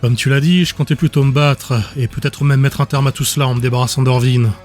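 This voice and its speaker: A man's voice: mid-range voice, fairly even intonation, quick pace, darkish tone, faint music behind, natural voice. A man voicing a weary, guilt-ridden husband resolved to fight, in an audiobook drama. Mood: grim determination. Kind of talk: audiobook character dialogue.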